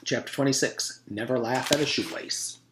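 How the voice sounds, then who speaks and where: A man with a mid-pitched voice reads a children's storybook aloud in the role of a teacher. The recording is roomy, with noticeable echo around his words. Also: normal speed, background noise. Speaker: teacher reading aloud to pupils.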